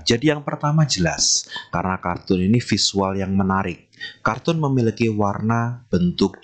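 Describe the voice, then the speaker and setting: A man's voice: fairly low pitch, husky. A man acting as trainer, giving an online training lecture and reading through slide points while politely addressing his listeners.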